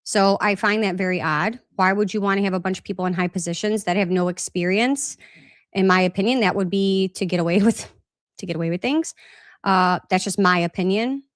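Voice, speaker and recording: A woman's voice: mid-range voice, brisk, no echo, clean studio sound. A woman hosting a casual live-stream Q&A, reading out viewer comments in a loose, rambling way, a little congested with a slight cough. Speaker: podcast host. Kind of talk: live-stream recap and chat Q&A.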